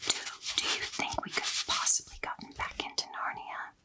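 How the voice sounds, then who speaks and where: A woman's voice: normal speed, pushed and bright. A woman voicing the youngest English girl, eager and full of wonder, whispering her lines.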